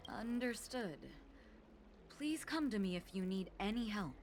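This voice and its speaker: A woman's voice: mid-range voice, expressive, a little slow, darkish tone, faint music behind, lightly acted. An actress voicing a polite, attentive female helper in a video game. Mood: courteous. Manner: polite, helpful. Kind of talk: video game character acting.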